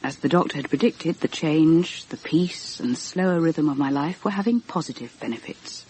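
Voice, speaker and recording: A woman's voice: low voice, lively intonation, normal speed, warm tone, husky. An actress playing an inquisitive, sensitive, genteel woman in a radio drama, speaking in articulate, earnest, literary phrases. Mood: reflective. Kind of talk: radio drama narration and dialogue.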